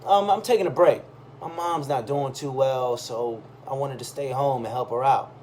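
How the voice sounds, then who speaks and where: A man's voice: mid-range voice, normal speed, a little mellow, lightly acted. A man voicing a nervous teenage boy bluffing in a told story, polite and stammering. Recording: clearly audible background noise.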